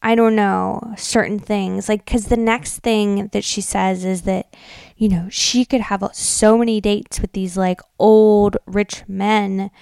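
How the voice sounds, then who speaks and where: A woman's voice: medium pitch, fairly even intonation, slow pace, vocal fry, a little mellow, clear, resonant tone, no room sound. An American woman co-hosting a podcast, retelling things casually in informal, slangy speech peppered with "like". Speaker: podcast co-host. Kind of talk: casual chatty retelling and commentary.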